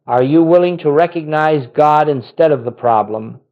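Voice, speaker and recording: A man delivering a scripted spiritual sermon in a solemn, gentle manner, with short, deliberate phrases. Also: a little high, highs cut off. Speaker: spiritual teacher.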